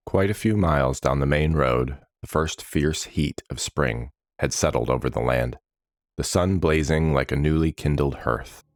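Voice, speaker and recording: A man's voice: rumbling low, normal speed, no echo, studio quality. A man reading a scripted fantasy story as its narrator.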